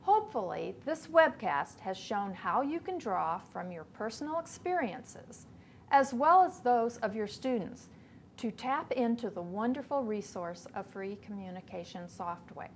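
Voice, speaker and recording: A woman in her fifties reads an instructional webcast script in a measured, steady way from a small studio. Her pitch is mid-range for a woman. A faint hiss sits under the close-miked voice. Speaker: educator presenting a webcast. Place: small studio before a backdrop.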